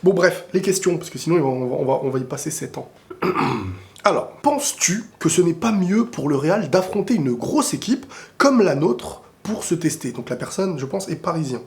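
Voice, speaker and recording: Guy with a cold and sore throat ranting about football, kinda high voice, rambles and gets carried away. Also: vocal fry. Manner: rambling and excitable, bursts into quick asides. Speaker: football video creator answering viewer questions. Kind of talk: casual opinionated football talk to camera.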